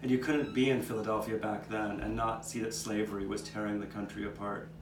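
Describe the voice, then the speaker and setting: A man playing an old street cat who reminisces in a measured, reflective way, as scripted narration for a live puppet show. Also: lowish voice, little pitch movement, natural voice. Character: old male street cat, reminiscing storyteller with nine lives. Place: outdoor courtyard. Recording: distant, echoey live taping with background noise.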